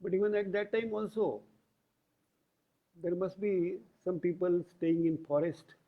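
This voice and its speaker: A man giving an explanatory lecture, deliberate and pausing between short phrases. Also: high voice, steady tone. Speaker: yoga lecturer. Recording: tinny, phone-like band-limited audio.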